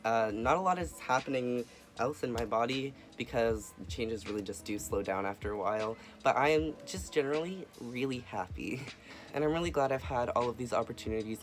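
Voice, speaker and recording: Earnest soft-spoken young man. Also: mid-range voice. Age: young adult. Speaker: vlogger. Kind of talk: casual personal update.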